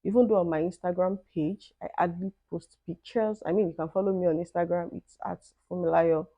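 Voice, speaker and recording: A woman's voice: fairly low pitch, fairly even intonation, fairly quick, no echo, phone-quality sound. A young Nigerian woman with a Nigerian accent, casually reading out questions and answering them in a vlog, often adding "I mean". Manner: thoughtful, conversational, with "I mean" asides. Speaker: vlogger.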